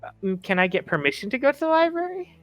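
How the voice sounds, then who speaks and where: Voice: high-pitched, sing-song, quick pace, fairly bright tone, no echo, pitched above the performer's own voice. A player voicing a polite, hesitant orphaned teenage boy in a tabletop game, stammering a little. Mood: nervous, polite.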